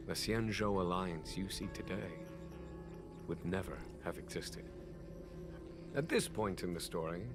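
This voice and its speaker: An actor voicing a grave, learned general in a game, recounting ancient history in measured, formal speech. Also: male voice, sits low. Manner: measured, formal, weighty storytelling. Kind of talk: solemn scripted game dialogue. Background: game music.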